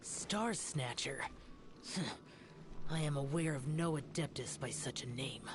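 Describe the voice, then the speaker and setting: A man's voice: medium pitch, slow pace, noisy background, close to an everyday voice. A man playing a stern, solemn immortal demon-slaying warrior in a video game, with grave, formal speech. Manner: formal, grave, archaic wording.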